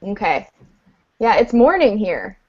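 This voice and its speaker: A woman teaching a beginner English class online, speaking patiently and clearly with an encouraging manner. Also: mid-range voice, sing-song, measured pace, a little mellow. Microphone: video call. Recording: dull lo-fi video call audio.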